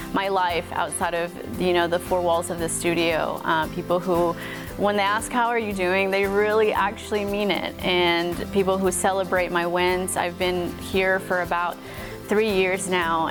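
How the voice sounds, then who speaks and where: A woman in her thirties from Mexico with a light Texas accent, a morning news anchor talking earnestly and gratefully about her life. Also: lowish voice. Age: 30s. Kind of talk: personal interview talk. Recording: music playing underneath.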